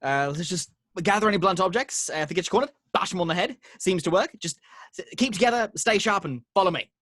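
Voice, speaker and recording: A man's voice: high-pitched, animated, fast talker, fairly bright tone, no room sound, studio quality. A man playing a flustered, well-meaning young man trying to lead, in an amateur table read. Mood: flustered, brisk.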